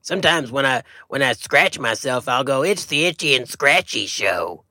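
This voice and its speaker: Casting: man as touchy, folksy possum podcast co-host. Bright, muppet-like gravelly voice, wildly animated delivery, comic banter. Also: mid-range voice, normal speed, no room sound, studio quality. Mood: silly, cheerful. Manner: excitable, indignant, folksy. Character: touchy, silly male possum podcast sidekick, a wild animal.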